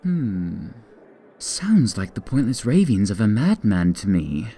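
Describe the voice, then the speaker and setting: Sly, slow-drawling theatrical madman. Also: fairly low pitch, big pitch swings, dark tone, husky, heavily acted. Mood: taunting, evasive. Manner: theatrical, mock-polite, taunting drawl. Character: sly, mocking asylum lunatic, devoted servant to a dark master. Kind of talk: audio drama acting.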